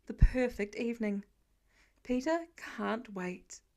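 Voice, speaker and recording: A woman's voice: fairly high pitch, expressive, slow, dark tone. A woman reading a story aloud as scripted narration for an amateur audiobook. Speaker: fan fiction audiobook reader.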